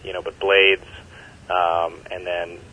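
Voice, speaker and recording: A man's voice: sits low, little pitch movement, slow, sharp tone. A man being interviewed about an event he organizes, talking in a rambling way with lots of fillers. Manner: rambling, many fillers, enthusiastic. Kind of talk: phone interview. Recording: muffled phone call.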